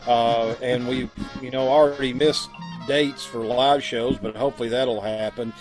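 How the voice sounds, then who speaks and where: A man's voice: mid-range voice, fairly even intonation, moderate pace, faint music behind, rough recording. A man co-hosting a theater troupe's show, chatting casually through announcements. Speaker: troupe member and co-host.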